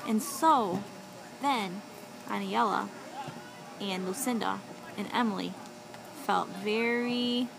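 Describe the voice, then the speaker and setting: A woman improvising a children's story with a young child, animated and encouraging, often echoing and asking questions. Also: medium pitch, slow, vocal fry, pauses often, a crowd in the background.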